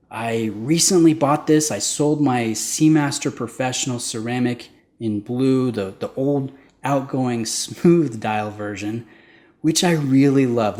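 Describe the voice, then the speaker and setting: A man's voice: mid-range voice, darkish tone. A man hosting his own channel, talking casually and with enthusiasm as he explains the details of a watch. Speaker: watch reviewer. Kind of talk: casual product review to camera.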